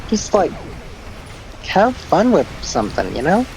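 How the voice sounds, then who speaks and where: A man's voice: high-pitched, huge pitch swings, measured pace, vocal fry, noisy background. A man co-hosting a livestream, chatting casually and hesitantly, often laughing. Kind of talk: casual chat.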